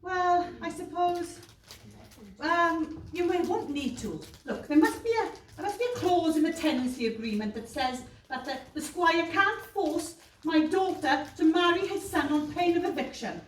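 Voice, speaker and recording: A woman's voice: extremely high pitch, animated, moderate pace. A woman playing a flustered, melodramatic mother in a pantomime, exclaiming theatrically. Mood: fretful. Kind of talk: British pantomime stage acting. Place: live stage performance. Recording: distant echoey stage recording with noise.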